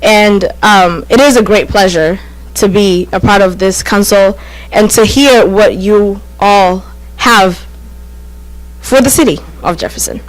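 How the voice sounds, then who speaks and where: Young woman from Kenya, polite and measured. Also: mid-range voice, no echo, low-quality recording. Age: 20s. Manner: measured, polite, slightly formal. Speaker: student liaison. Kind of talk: self-introduction at a meeting. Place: council chamber at a podium.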